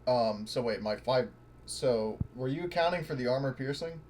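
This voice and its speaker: A man's voice: medium pitch, normal speed, a little mellow. A man playing a tabletop roleplaying game, chatting casually as a player.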